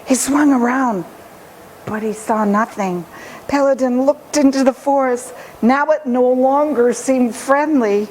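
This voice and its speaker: Female storyteller for children's book: very unhurried, dramatic phrasing, slightly high voice, faint noise. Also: darkish tone, poor audio. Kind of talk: expressive children's story reading.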